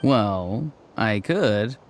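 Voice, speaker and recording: An actor playing a polite, earnest and somewhat secretive youngster in a video game, hesitant and apologetic in manner. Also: male voice, lowish voice, lively intonation, extremely slow, a little hiss or hum, exaggerated voice. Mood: sheepish, polite. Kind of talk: English game voice acting.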